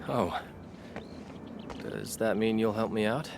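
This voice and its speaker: A man playing a calm, weary video game commander who speaks in an understated, questioning way. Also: lowish voice, takes it slowly, vocal fry, noisy background, full character voice.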